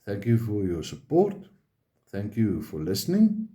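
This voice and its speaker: A South African man with an Afrikaans accent, a native Afrikaans speaker, talking straight to his viewers in a halting, emphatic monologue that repeats words. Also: lowish voice, huge pitch swings, mellow. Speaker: vlogger.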